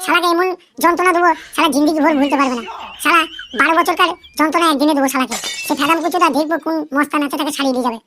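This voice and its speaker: A performer playing a male gangster's sidekick from a comic cartoon. The voice is high and extremely fast, rambling through boasts and wheedling flattery in crude slang, with faint noise behind it. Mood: vengeful, boastful. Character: foul-mouthed, fawning gangster henchman cartoon character.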